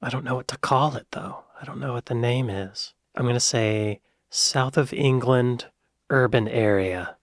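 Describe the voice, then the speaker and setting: American guy reacting, excitable then muttering, dry close mic. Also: mid-range voice, normal speed, no echo. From United States. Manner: chatty, excitable, drops to muttered asides. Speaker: reaction video host. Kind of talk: casual reaction commentary.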